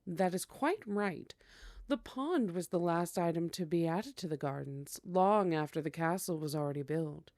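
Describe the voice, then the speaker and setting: A woman's voice: on the low side, normal speed, a little mellow, dry recording, clean studio sound, close to an everyday voice. A woman playing a teasing, courtly vampire hostess in a scripted ASMR roleplay, speaking in a coy, formal way. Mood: gracious.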